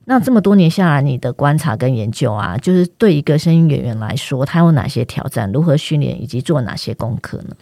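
A woman's voice: very deep voice, animated, quick pace, few pauses, dark tone, dry recording, clean studio sound. A woman with a Taiwanese Mandarin accent, hosting a podcast and posing questions in a conversational, inquiring way. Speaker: podcast host. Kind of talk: conversational interviewing.

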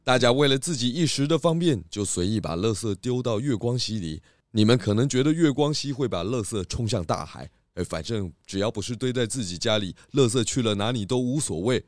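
A man's voice: mid-range voice, lively intonation, a little fast, hardly any pauses, dry recording, clean studio sound, close to an everyday voice. A man voicing a clever, food-loving male pig detective in a children's radio drama, stammering a little when he tries to dodge work. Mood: lecturing.

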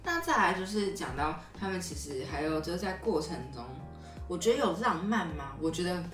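A woman podcast host with a low voice and a Taiwanese Mandarin accent talks casually and ramblingly. She sounds a little away from the mic in an echoey room, with music behind her. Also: very animated, fairly quick, fairly bright tone. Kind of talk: casual conversational recap and commentary. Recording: roomy echo, slightly distant mic.

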